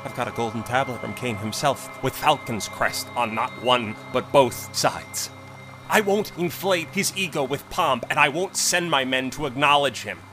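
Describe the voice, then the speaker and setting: A man acts out a proud and suspicious official in an audio drama. He is imperious and dismissive, given to dark brooding, in a mid-range male voice. Music plays clearly behind him. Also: mid-range voice, normal speed, lightly acted. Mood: indignant. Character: proud, suspicious embezzling court official of middle rank.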